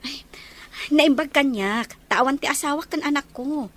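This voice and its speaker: A woman acting a grateful, apologetic elder sister in an Ilocano audio drama. Her voice sits a little high and carries a Filipino accent. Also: normal speed, a bit bright. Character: grateful older sister short of money.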